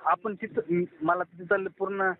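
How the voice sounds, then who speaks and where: Marathi guy on a phone line, high voice, casual, keeps repeating his point. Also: fairly even intonation, slow, background noise. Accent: Marathi, Maharashtra. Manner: repeats instructions insistently. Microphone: phone call. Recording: tinny, phone-like call recording.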